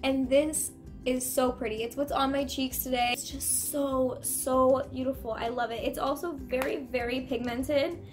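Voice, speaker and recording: A young woman with a croaky voice from a cold, chatting about her favorite products in her bedroom. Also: sits high, steady tone, a little slow, faint music behind. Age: young adult. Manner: chatty and gushing, with many fillers and repeats. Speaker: beauty vlogger. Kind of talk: casual product review chat. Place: bedroom, indoors, small.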